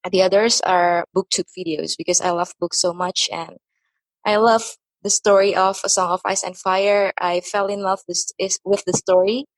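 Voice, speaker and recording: A woman from Indonesia, a podcast guest speaking English haltingly with many pauses. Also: on the low side, no room sound, rough recording. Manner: hesitant, many "uh" and restarts. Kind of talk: casual podcast chat.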